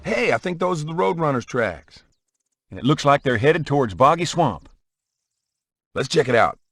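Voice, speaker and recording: A man's voice: on the high side, big pitch swings, moderate pace, a bit bright, exaggerated voice. An actor playing a determined, loyal young country man in a video game. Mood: concerned, determined. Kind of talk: scripted video game acting. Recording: clear car and siren noise.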